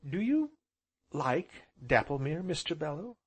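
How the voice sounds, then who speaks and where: A man voicing a gentle, earnest young woman in a romantic storybook, speaking softly and sweetly. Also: a little high, very animated, a little slow, breathy edge, voice pushed up, heavily acted. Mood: pleased, wistful. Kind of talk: audiobook character voice.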